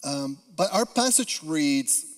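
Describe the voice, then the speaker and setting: Peruvian man preaching slowly in a reverberant church. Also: on the high side, animated. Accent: Spanish-accented English. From Peru. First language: Spanish. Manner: halting with frequent "uh" fillers, earnest. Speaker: guest preacher. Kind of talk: sermon. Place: church sanctuary. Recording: long hall reverb.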